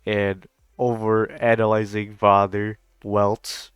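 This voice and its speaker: A man's voice: lowish voice, completely flat delivery, slow pace, smooth, no room sound. A young adult man giving casual, slangy reaction commentary on a game, with frequent swearing. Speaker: streamer reacting to a game story.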